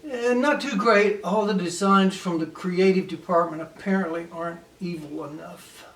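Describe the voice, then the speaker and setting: Man playing a brash, high-pitched sleazy older henchman. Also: moderate pace, a bit bright. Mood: grumbling. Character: sleazy, irritable 58-year-old male henchman PR man. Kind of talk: script table read, comedy. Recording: echoey room, distant mic.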